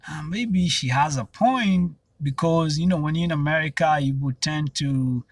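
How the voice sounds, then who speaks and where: A man's voice: fairly high pitch, normal speed, husky, no room sound. A young Kenyan man, Swahili-speaking by birth, talking to camera in a loose, rambling way with many restarts and fillers. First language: Swahili and another Kenyan language. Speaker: vlogger. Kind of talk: casual talk to camera.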